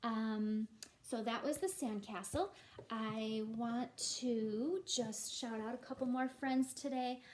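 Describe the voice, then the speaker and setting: A woman with a fairly high voice talks to young children as their teacher. She is encouraging and speaks in a simple, chatty read-aloud style. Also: steady tone, slow pace, darkish tone. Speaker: elementary school teacher. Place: at home.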